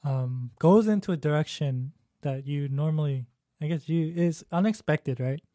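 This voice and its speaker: A man's voice: fairly high pitch, moderate pace, warm tone, dry recording. Man, casual film chat, hesitant and reflective, dry close sound. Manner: thoughtful, hesitant, many fillers. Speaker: film discussion co-host.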